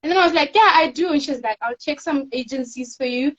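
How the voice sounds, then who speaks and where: High-voiced teen girl, muffled recording. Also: fast. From South Africa. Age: teens. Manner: soft-spoken, rambling storytelling with fillers. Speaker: guest, teen pageant winner. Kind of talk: casual interview chat.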